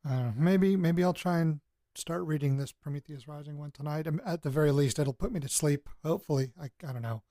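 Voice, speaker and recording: Audio drama actor, male: jittery young man, sardonic and sweary, slightly high voice, naturalistic halting delivery. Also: warm tone, dry recording, clean studio sound, close to an everyday voice. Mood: weary, skeptical. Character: jittery, bitter young man investigating his grandparents' past. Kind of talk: audio drama, naturalistic spoken recorded diary.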